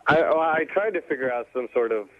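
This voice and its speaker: Phone-quality male caller on a radio show, nervous, halting, stuttery, casual. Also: a little high, lively intonation. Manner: nervous, stammering, hesitant, self-correcting. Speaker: radio show staffer being questioned. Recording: thin phone-like band-limited line.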